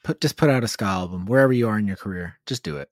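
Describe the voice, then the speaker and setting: A man's voice: lowish voice, rapid-fire, no echo, studio quality. A man co-hosting a podcast with casual banter. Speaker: podcast co-host.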